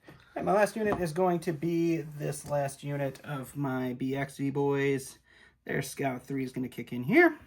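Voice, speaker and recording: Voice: medium pitch, moderate pace. A tabletop wargame player casually narrating unit moves and dice rolls. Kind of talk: casual battle report play-by-play.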